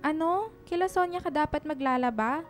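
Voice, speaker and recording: A woman's voice: high-pitched, normal speed, close to an everyday voice. A woman playing a kind, protective lady employer in a radio drama, chatty and concerned. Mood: concerned, indignant. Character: kind, protective middle-class employer, a single mother. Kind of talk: Filipino radio drama acting.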